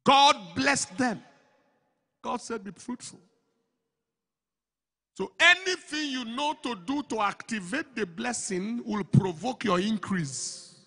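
A middle-aged man preaching a sermon into a handheld mic on a large church stage. He goes from slow, measured phrases to loud, emphatic declarations. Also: very high-pitched, expressive. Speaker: pastor. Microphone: handheld microphone. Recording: long hall reverb, slightly breathy.

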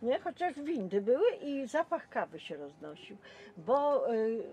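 A woman's voice: a little high, big pitch swings, measured pace, warm tone, a little hiss or hum. An older woman chatting about memories in a colloquial, talkative way, as a local resident. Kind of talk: casual chat, reminiscence.

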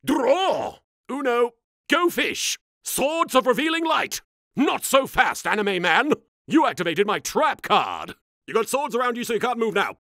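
A man's voice: very high-pitched, sing-song, a little slow, pushed and bright, no echo, exaggerated voice. A man voicing an arrogant, theatrical young anime duelist in a comedy parody, with haughty, over-enunciated delivery. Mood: bombastic, shouting. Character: arrogant, pompous young male anime duelist in a recording booth. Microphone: close studio microphone.

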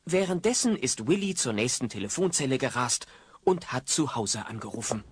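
A man's voice: medium pitch, sing-song, normal speed, husky, no room sound. A man narrating an audio play in a wry, suspenseful, dramatic storytelling style. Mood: excited.

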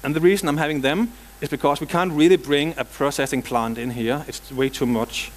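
A man's voice: on the high side, fairly even intonation, a little fast. Danish man giving a joking tech talk. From Denmark. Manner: dry joking, casual asides. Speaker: conference speaker. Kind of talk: technical conference talk. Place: large conference hall.

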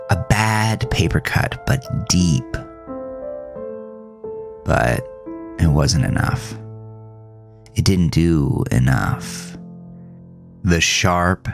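A man narrating a scripted first-person horror story in a measured, dramatic way with pauses. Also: low voice, gravelly. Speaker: host and author reading own horror story.

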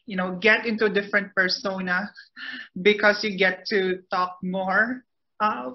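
A woman poet speaking earnestly on a video call panel, halting now and then, with soft laughs. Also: medium pitch, steady tone. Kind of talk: casual panel talk. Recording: muffled video call audio.

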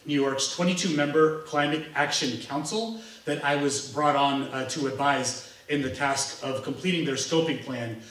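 A man's voice: mid-range voice, fairly bright tone. A man on a panel in a library, introducing his public service work in an earnest, formal way with many ums. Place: large library room. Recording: roomy hall from far off.

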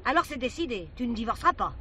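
Fed-up mistress, cutting and sardonic, bright tense voice, radio drama. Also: female voice, a little high, sing-song, quick pace, slight background noise. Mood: resolute. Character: bitter, fed-up mistress demanding commitment.

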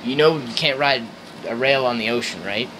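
A man's voice: mid-range voice, moderate pace, pushed and bright, a little hiss or hum, lightly acted, rough recording. A young man playing an earnest 1930s radio host, reading scripted interview questions in an amateur skit. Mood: formal. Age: young adult.

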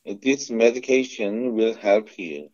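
A man with a Korean accent, a native Korean speaker, slowly repeating English phrasebook sentences on a phone call, with small slips. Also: fairly low pitch, a little mellow. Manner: slow, careful repetition with small slips. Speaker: phone English practice partner. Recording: muffled phone line.

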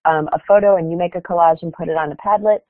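A woman's voice: lowish voice, fairly even intonation, fast talker, no echo. A woman giving a keynote presentation at an online conference, explaining her project earnestly with some fillers over a video call. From Chicago area, United States. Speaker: keynote speaker. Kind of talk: webinar presentation. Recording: tinny phone-like online call audio.

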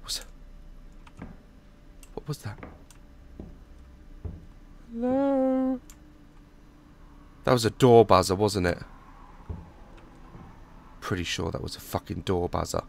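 A hoarse young man on a headset mic, nervously joking and swearing through a horror game as a gaming YouTuber. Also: lowish voice, lively intonation, takes it slowly, pauses often. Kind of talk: horror game let's play commentary.

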